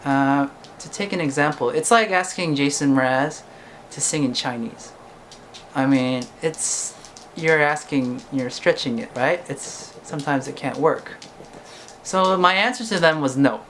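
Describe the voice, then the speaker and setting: A man's voice: on the high side, unhurried, a bit bright. Young male vlogger, conversational, keeps checking in with "right?", faint background noise. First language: English. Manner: chatty, ends points with "right?". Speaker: singer-songwriter vlogger. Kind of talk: casual talk to camera.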